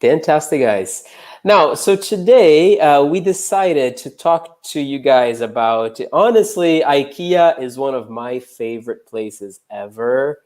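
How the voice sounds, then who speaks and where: A young adult man from Brazil, an English teacher, chatting in a relaxed way during a live online lesson with many uh and um fillers. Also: medium pitch, takes it slowly.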